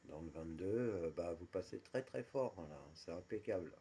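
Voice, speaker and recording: A man's voice: low voice, animated, normal speed, background noise. A man chatting casually as a radio operator, repeating his confirmations and using radio jargon. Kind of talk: casual radio contact chat.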